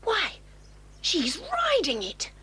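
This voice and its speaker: A woman's voice: very high voice, wildly swooping pitch, slow, sharp tone, heavily acted. A woman playing a snobbish, sly schoolgirl with a prim, haughty manner in a children's audio drama. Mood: nosy disapproval.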